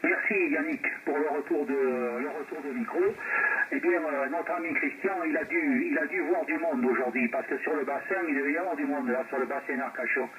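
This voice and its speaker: A man's voice: fairly high pitch, brisk. A man chatting casually and courteously over two-way radio as an amateur operator, through a tinny, noisy, distant transmission. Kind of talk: casual radio contact chat.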